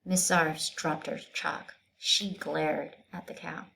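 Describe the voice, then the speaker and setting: A woman reading a children's story aloud, expressive and pausing to put questions to her listeners. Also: deep voice, vocal fry.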